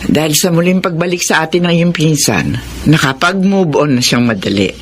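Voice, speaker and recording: A woman's voice: sits low, moderate pace, slight background noise, close to an everyday voice. A woman acting a kindly, concerned older mother in a Filipino radio drama, speaking in a musing, motherly way. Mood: kindly, curious.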